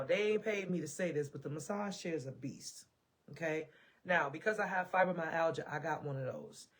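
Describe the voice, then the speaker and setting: An American woman vlogger talking casually to camera at home, emphatic and folksy, repeating phrases for emphasis, her voice a little worn as she loses it. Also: low-pitched. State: losing her voice. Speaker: self-care and parenting vlogger. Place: indoors at home.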